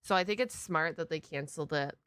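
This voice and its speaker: Bright, expressive woman reacting casually. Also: low voice, fairly quick, clear, resonant tone, no echo. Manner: expressive, exclaiming, slangy. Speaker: reaction video host. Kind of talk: casual live reaction commentary.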